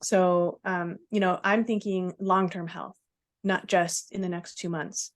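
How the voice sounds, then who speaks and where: A woman's voice: fairly low pitch, fairly even intonation, a little slow. A woman speaking as an interview guest, explaining things carefully and casually, often checking with a short tag question. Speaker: podcast guest, non-diet nutrition professional.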